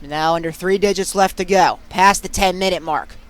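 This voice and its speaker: Young adult male sportscaster, very high-pitched, excitable, clipped delivery for live game commentary. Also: moderate pace. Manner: excitable, punchy delivery in short clipped phrases. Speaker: play-by-play sportscaster. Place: at sports venues, live during games.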